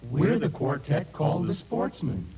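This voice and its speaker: An actor playing a cheeky, mocking member of a singing quartet in an old-time radio comedy. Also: male voice, on the high side, very animated, moderate pace, dull audio, a little hiss or hum. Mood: eerie, teasing. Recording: old radio recording, loud audience.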